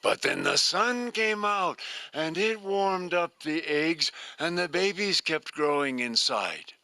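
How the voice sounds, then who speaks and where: An older man telling a story live to an audience, slowly and expressively in drawn-out phrases. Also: fairly high pitch, lively intonation, sharp tone, clean tone, dry recording.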